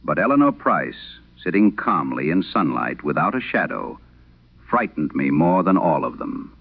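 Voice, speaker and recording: A male actor as a haunted old Irish priest confessing in a horror radio play. His low voice is gravelly and grave, rising and falling dramatically as he speaks in measured, solemn phrases. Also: big pitch swings, heavily acted. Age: older. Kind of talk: dramatic first-person horror radio monologue. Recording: dull lo-fi vintage radio sound.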